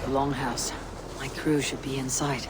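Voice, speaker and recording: A woman's voice: extremely low pitch, flat delivery, unhurried, over loud music, poor audio. An actress playing a bold, vengeful Viking warrior woman in a video game, speaking in terse, commanding statements. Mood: watchful, muttering. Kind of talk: dramatic game dialogue.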